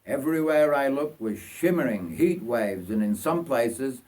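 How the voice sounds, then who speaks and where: Older man from Yorkshire, measured storytelling. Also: medium pitch, lively intonation, a little mellow. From North Yorkshire, England. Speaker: writer reading own life story. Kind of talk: narrated autobiographical story.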